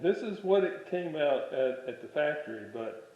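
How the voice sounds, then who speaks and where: A man giving an informal workshop explanation in a halting, rambling way, often correcting himself. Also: fairly high pitch, lively intonation. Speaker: radio restorer presenting his project. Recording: roomy echo, dull lo-fi sound.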